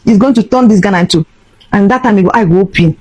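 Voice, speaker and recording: Casting: Nigerian woman, rapid emphatic delivery, impassioned vlog rant mixing Pidgin and English, dry recording. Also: mid-range voice, a little mellow, breathy edge, no room sound, muffled recording. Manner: emphatic, indignant, switching between English and Pidgin. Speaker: social media vlogger.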